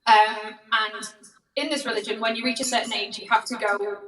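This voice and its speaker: A young woman with a Liverpool accent, chatting as a guest songwriter in a soft, giggly way with plenty of ums. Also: on the high side, fairly even intonation, fairly quick, reverberant, distant mic, poor audio. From near Liverpool, England. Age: young adult. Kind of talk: casual interview chat.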